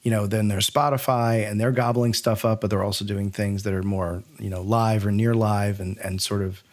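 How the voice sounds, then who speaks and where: A man's voice: fairly low pitch, steady tone, normal speed. A man hosting a podcast in a conversational interview style, reflective and rambling as he thinks aloud. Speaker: podcast co-host.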